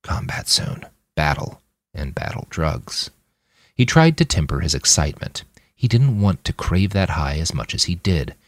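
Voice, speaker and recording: A man reading scripted fiction as an audiobook, telling the story dramatically in measured, clipped phrases. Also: a bass voice, sing-song, no echo. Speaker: author reading his own novel on a podcast.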